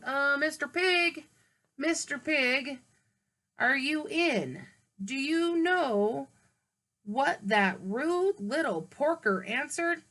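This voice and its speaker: A woman's voice: high-pitched, sharp tone, exaggerated voice. A woman reading aloud as a male wolf telling his side, mock-innocent and indignant. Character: wolf, male, grumbling sly self-pitying narrator.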